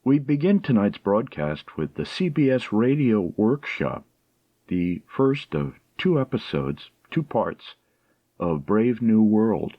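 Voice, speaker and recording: Male program host: slow, deliberate scripted intro, slightly low voice, close dull lo-fi sound. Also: big pitch swings, no echo.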